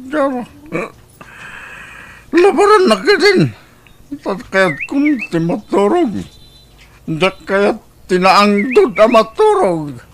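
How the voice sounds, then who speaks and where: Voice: medium pitch, big pitch swings, slow pace, pauses often, a little hiss or hum. An actor playing a drunken, irritable, jobless husband in a radio drama, drawling and complaining with an Ilocano accent. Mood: irritated.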